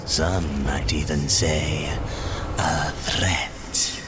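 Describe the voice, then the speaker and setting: An actor playing a sly demon soul trader, smooth and coaxing as he bargains. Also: extremely low pitch, steady tone, drawn-out words, full character voice. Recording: noisy game ambience behind the voice.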